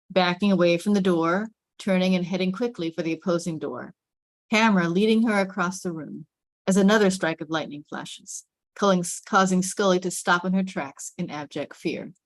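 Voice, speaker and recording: A woman narrating the scene and camera directions of a script at a table read. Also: fairly low pitch. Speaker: stage directions reader.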